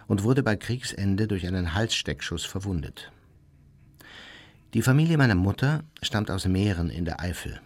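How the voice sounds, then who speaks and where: An actor playing an old, unrepentant war criminal who recounts his life in a formal, matter-of-fact way for a radio drama. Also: low voice.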